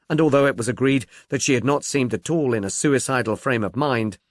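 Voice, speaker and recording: A man reading a detective novel as an audiobook narrator, in a measured, expressive storytelling manner. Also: medium pitch, dry recording, studio quality.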